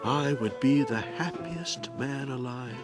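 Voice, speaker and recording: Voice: medium pitch, fairly even intonation, slow pace, close to an everyday voice. A narrator voicing a discontented, wishful lantern maker in a folktale, with theatrical exclamations. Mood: yearning. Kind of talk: storybook character voice. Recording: music behind the voice.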